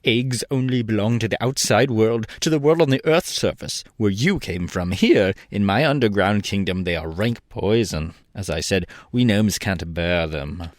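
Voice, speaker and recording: A man voicing a small, blustering gnome king who gloats and yelps in fright, speaking in a drawn-out way. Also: lowish voice, expressive, dry recording, studio quality, natural voice. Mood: grumbling.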